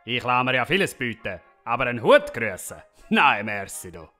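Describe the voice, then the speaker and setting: A man's voice: mid-range voice, sing-song, moderate pace, bright, forceful tone, soft background music. An actor playing a stubborn, hot-headed family father and folk hero in a comic audio drama, blunt and bossy, with a Swiss German accent. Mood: grumbling, defiant.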